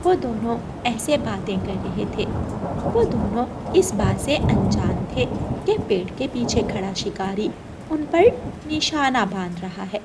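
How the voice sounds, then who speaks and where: A woman's voice: medium pitch, lively intonation, unhurried, heavy background noise. A woman reading a scripted children's story as the narrator of a cartoon.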